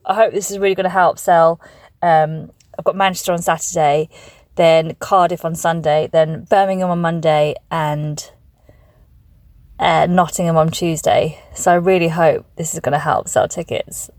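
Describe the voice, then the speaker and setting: A middle-aged woman, a comedian chatting casually to camera on her tour vlog, excitable and gushing. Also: low voice, normal speed, dry recording, studio quality. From England.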